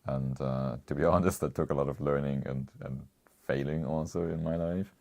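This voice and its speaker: A man's voice: very deep voice, steady tone, moderate pace, gravelly, a little mellow. A man co-hosting a casual chat, reading viewer comments, rambling with frequent chuckles. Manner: rambling, chuckles often, restarts. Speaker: co-host.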